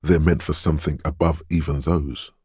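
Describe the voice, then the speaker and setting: A man voicing a video game's inner voice of intuition, dreamy, ominous and mysterious, narrating in a portentous, poetic way with a deep, gravelly, husky tone. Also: fairly even intonation, moderate pace, warm tone, no room sound, clean studio sound, full character voice. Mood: grave, mournful. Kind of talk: scripted second-person video game narration.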